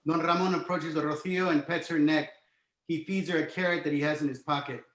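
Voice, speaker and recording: A man's voice: a little high, fairly even intonation, fairly quick, a bit bright. A man reading stage directions as the narrator of a screenplay table read. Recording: roomy, echoing home recording.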